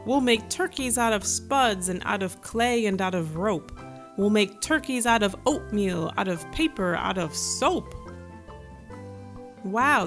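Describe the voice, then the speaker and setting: A woman's voice: fairly high pitch, a bit bright. A woman reading a children's picture book aloud, engaging the listener with questions and asides. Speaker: children's storybook reader. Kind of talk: read-aloud storytelling with asides to young listeners.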